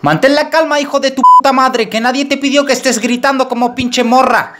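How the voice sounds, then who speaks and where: A man's voice: very high voice, fairly even intonation, a little fast, background noise, pitched above the performer's own voice. A man voicing a crude, menacing Mexican extortion caller in a comedy prank-call sketch, with a put-on Mexican accent. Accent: Mexican Spanish (put on). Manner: threatening, swearing, mock-tough.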